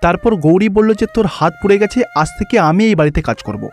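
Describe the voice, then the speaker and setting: Male storyteller, fairly high voice, scripted fairy-tale narration, soft music underneath. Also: big pitch swings, fast.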